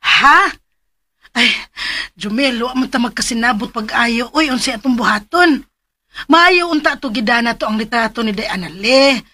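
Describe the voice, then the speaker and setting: An actress playing a fretful, homely aunt in a Philippine radio drama, with a Cebuano Filipino accent. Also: female voice, a little high, expressive, moderate pace, sharp tone. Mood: flustered. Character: fretful aunt helping search, homely older relative.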